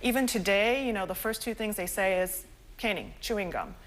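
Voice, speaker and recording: A woman's voice: mid-range voice, brisk, rough recording. A woman in her thirties from Singapore, a guest author in a morning TV studio interview, chatty and full of fillers. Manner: chatty, laughs often, many fillers.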